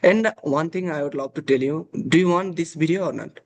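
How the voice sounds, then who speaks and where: Young man, hesitant and halting. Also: on the high side. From Nepal. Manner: hesitant, many fillers, uh and like. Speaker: interviewee. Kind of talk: casual video call chat.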